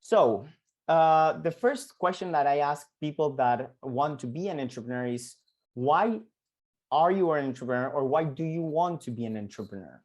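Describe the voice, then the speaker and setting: A man in his thirties from Argentina with an Argentine Spanish accent, giving an online entrepreneurship lesson and often repeating words and filling pauses. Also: fairly high pitch, lively intonation, a little mellow. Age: 30s. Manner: halting, frequent repeated words and fillers. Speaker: entrepreneurship coach. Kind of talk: online lecture to students.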